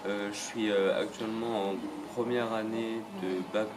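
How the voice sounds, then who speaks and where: An eighteen-year-old vocational student introduces himself in a hesitant, halting way. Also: male voice, on the low side, little pitch movement, noisy background. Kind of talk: interview.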